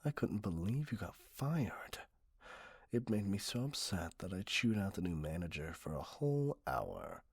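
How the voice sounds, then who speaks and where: A man plays an obsessive, entitled middle-aged customer turned captor in an ASMR roleplay. The voice is deep and breathy-husky, recorded very dry and close. The delivery is self-righteous and condescending, with a cloying possessiveness. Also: very animated, unhurried, no echo, full character voice. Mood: aggrieved. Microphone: close, dry microphone.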